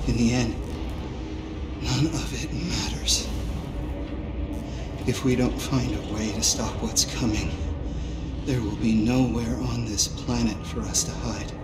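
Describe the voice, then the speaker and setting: A middle-aged man acting a weary, anxious and desperate scientist in a cinematic video game, with grave, halting intensity. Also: medium pitch, close to an everyday voice. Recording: loud music, echoey room.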